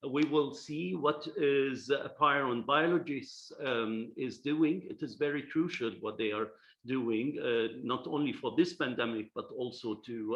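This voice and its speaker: A man moderating an online forum, giving formal remarks with many pauses and fillers over a video call. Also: mid-range voice, a bit bright. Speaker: session moderator. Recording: dull lo-fi video call audio.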